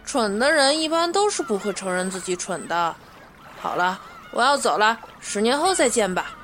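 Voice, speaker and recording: A woman's voice: medium pitch, sing-song, moderate pace, a bit bright, slight background noise. A woman voicing a haughty little merman boy with a cocky, dismissive manner in a Chinese costume radio drama. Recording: music behind the voice.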